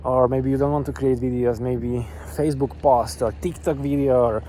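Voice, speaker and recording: A man's voice: medium pitch, fairly even intonation, moderate pace, mellow, slight background noise. A man from Slovakia, vlogging eagerly on an outdoor beach, with many fillers and restarts. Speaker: online business vlogger. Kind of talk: upbeat to-camera vlog pitch.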